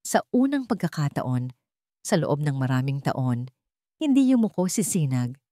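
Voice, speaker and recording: Low-voiced woman narrating a drama, dry recording. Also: big pitch swings, measured pace, warm tone, no room sound. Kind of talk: dramatic scripted story narration.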